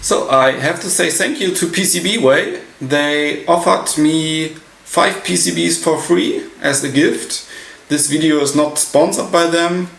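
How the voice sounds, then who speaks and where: Slow-talking man, roomy sound. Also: medium pitch, creaky. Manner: halting, pausing mid-sentence. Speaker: electronics hobbyist presenter. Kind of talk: unscripted technical walkthrough. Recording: roomy echo, light background noise.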